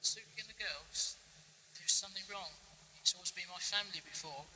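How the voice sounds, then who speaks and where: A man's voice: sits high, a little fast, sharp tone. A man playing a nervy, suspicious prisoner in an audio drama, querulous and full of questions. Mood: uneasy. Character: nervy, suspicious old male prisoner, chatty and doubting. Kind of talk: fan audio drama acting. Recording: distant, very noisy cassette drama.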